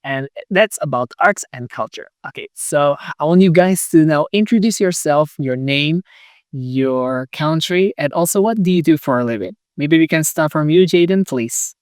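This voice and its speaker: A man's voice: a little high, normal speed, a little mellow, dry recording, studio quality. A man hosting a podcast interview, friendly and upbeat as he introduces guests and asks questions. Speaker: podcast host.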